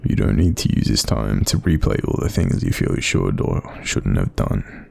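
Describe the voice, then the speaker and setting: An Australian man playing a kind sleep paralysis demon in a roleplay audio, speaking gently and informally with a gravelly, breathy voice to soothe and reassure. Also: a bass voice, big pitch swings, moderate pace, heavily acted. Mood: soothing, reassuring. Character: kind, supportive male sleep paralysis demon watching over someone.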